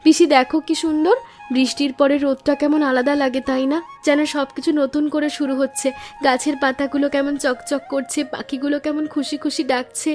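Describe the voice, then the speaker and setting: Woman acting young niece, cartoon dialogue, high voice, affectionate, eager, sentimental, faint music behind. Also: level tone, a little fast, a little mellow, close to an everyday voice. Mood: delighted. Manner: earnest, sentimental. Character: affectionate, eager young niece visiting her village aunt. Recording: music under the voice.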